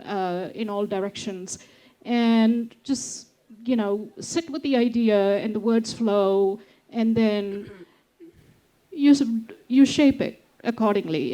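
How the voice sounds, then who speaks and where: A woman's voice: on the high side, warm tone, rough recording. A woman poet from India reading her poem aloud and then talking about her writing with many fillers and restarts. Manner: measured recitation, then hesitant chat with many fillers. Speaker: poet on a festival panel. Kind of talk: poetry reading then panel talk.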